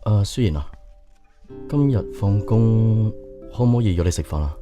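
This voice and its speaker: Mellow, earnest young Cantonese man played by an actor. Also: lowish voice, lively intonation, normal speed, faint music behind, natural voice. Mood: shy, earnest. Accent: Hong Kong Cantonese. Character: earnest, polite young man shyly courting a woman. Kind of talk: radio drama acting.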